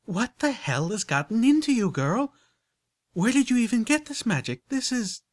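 A man's voice: on the high side, big pitch swings, moderate pace, fairly bright tone, voice pushed up. A man voicing a blunt, flustered country farm mare with a put-on Southern drawl. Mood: alarmed. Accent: Southern US rural (put on). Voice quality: drawling, exasperated.

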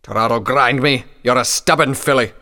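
A man's voice: medium pitch, fairly quick, fairly bright tone, long reverb tail, lightly acted. A man voicing a grouchy, cynical old male pony doctor who grumbles and snaps in a story. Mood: irritated. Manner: snappish, grumbling, dismissive.

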